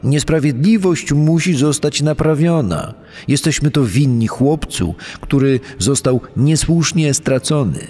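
A man's voice: on the high side, expressive, a little slow, mellow, breathy edge, big-room sound. A man acting an earnest advocate pleading against injustice in a documentary podcast. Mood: earnest, insistent.

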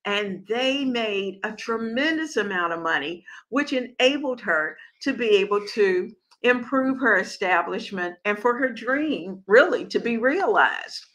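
An older woman hosting a home cooking show, telling a story to viewers in a slow, measured way with pauses and fillers, recorded on a webcam at home. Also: fairly high pitch, animated, fairly bright tone, poor audio. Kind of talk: casual talk to viewers.

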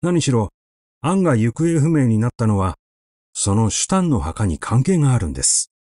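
A man narrating a literary short story in a measured, expressive storytelling manner. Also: mid-range voice, no room sound, studio quality. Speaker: audiobook narrator.

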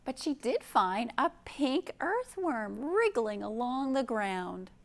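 A woman in her fifties leads a storytime for small children in an unhurried, fairly high voice with a creaky edge. She is gentle and expressive, keeping phrases simple and putting questions to her young listeners. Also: a little hiss or hum. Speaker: children's librarian hosting storytime. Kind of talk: read-aloud storytime for young children, with chat and song. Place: indoor library program room.

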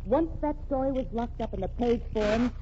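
An actress playing a sharp young woman reporter who calmly accuses a killer, in a 1940s radio drama. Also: on the high side, close to an everyday voice. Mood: accusing. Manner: cool, accusing, deliberate. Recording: dull old radio recording with faint hiss.

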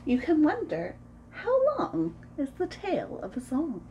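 A woman in her thirties reading a picture book aloud to preschoolers in an expressive, sing-song way, as host of a story time. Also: very high-pitched, a little slow, muffled recording, a little hiss or hum. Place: small room at home, indoors.

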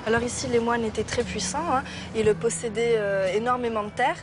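A young woman with a fairly high voice guides a visitor around. She explains in a conversational, playful way, like a student showing someone a historic site. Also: fairly even intonation, a little fast, over music. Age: 20s. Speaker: art history student acting as abbey guide. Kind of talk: explanatory guided-tour chat. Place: inside a large stone abbey, later on its outdoor roof.

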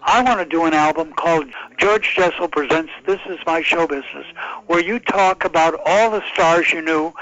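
A man's voice: fairly high pitch, moderate pace, fairly bright tone, muffled recording. An older American man casually recalling his career as an interviewee. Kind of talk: casual recollection.

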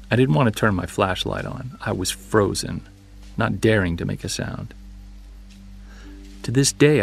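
An actor playing a grown man haunted by a childhood memory, recounting it haltingly in an audio drama monologue. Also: on the low side, creaky. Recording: studio voice over a music bed.

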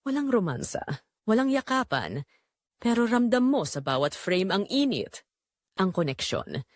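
A woman narrates a scripted audio drama at a medium pitch that moves with a lot of expression. She delivers it in short, measured phrases that build suspense. The recording is dry, with very little room sound. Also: dry recording.

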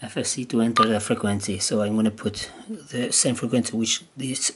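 A man who is not a native English speaker gives a casual, unscripted hands-on demonstration, hesitantly thinking aloud in halting phrases. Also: on the low side, steady tone. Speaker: amateur radio hobbyist demonstrating gear. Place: indoors at a wooden table. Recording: clear background noise on handheld camera audio.

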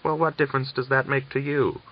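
A man's voice: mid-range voice, little pitch movement, a little fast, dry recording, voice pushed up, lightly acted. Man reading Natalia, a prim, guarded young wife. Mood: reproachful. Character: Natalia, modest, reserved young Cossack wife. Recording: dull lo-fi audiobook recording.

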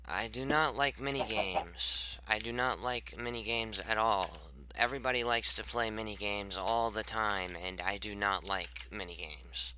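A man in his twenties with a slightly raspy voice, rambling casually while streaming a game, repeating himself and trailing off. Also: lowish voice, measured pace, hardly any pauses, background noise. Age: 20s. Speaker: Minecraft server owner streaming gameplay. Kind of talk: casual live-stream commentary.

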